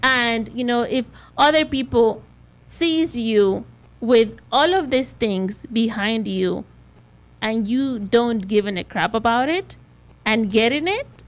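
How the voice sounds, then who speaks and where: A woman's voice: fairly high pitch, expressive, takes it slowly. Spanish-accented woman chatting loosely. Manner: rambling, many fillers like "you know". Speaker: realtor. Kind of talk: casual podcast chat.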